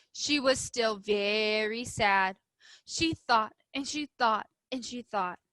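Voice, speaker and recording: Woman, primary school teacher type, for expressive read-aloud; bright, forward voice, slow emphatic delivery. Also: fairly high pitch, no room sound. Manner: emphatic, instructive, addressing children. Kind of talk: expressive storybook read-aloud with questions to pupils.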